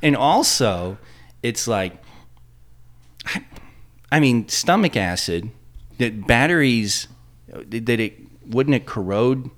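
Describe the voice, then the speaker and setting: A man co-hosting a podcast in casual chat, halting and restarting his sentences as he thinks aloud. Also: medium pitch, lively intonation. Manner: halting, trips over words. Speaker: podcast co-host.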